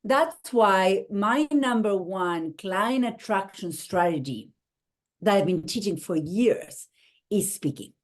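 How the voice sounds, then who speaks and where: A middle-aged woman with an Argentine Spanish accent talks through her ideas on a call. She explains earnestly at a middle pitch, sometimes restarting a phrase before she finds the words. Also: expressive, slow. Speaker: guest, marketing strategist. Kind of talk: interview over a call.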